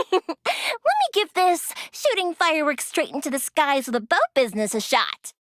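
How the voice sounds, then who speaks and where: A bubbly young fireworks maker, high and bright, her voice bouncing all over as she chatters. She giggles easily and softens when a story turns serious. It is a dry, close studio recording for a game. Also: huge pitch swings, unhurried, dry recording, full character voice. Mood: playful. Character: cheerful young woman fireworks maker, playful and warm. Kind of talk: game character voice lines. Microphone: close studio mic.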